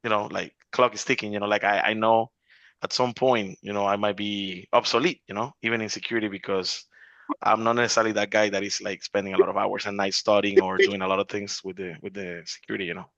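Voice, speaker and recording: Guy in his 40s on a zoom call, lowish voice, rambles with lots of you knows, kinda muffled dry audio. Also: flat delivery, fast, creaky, fairly bright tone, dry recording. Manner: rambling, many restarts and "you know" fillers. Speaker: real estate investor in an accountability group. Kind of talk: casual video call chat. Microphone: video call.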